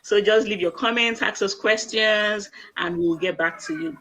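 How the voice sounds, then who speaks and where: A woman's voice: medium pitch, normal speed, fairly bright tone, poor audio. A woman, a doctor on a live online panel, explaining plainly and repeating key phrases. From Nigeria. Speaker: medical doctor, panel guest.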